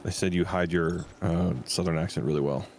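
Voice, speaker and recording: A man's voice: low voice, steady tone, fairly quick, gravelly, darkish tone, a little hiss or hum. A man chatting casually with a friend during a game stream, laid-back and swearing offhandedly. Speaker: co-op gaming guest. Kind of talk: casual chat while gaming.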